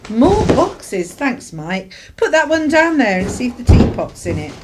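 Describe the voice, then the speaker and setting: A woman playing a sharp, harried English mother of the 1950s, dryly sarcastic, in a radio play. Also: fairly high pitch, sing-song, unhurried, heavy background noise. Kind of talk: British radio play acting and narration.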